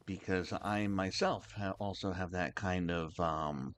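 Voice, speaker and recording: Low-voiced man hosting a game stream. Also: a little hiss or hum. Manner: halting and rambling, many restarts. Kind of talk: casual livestream chat.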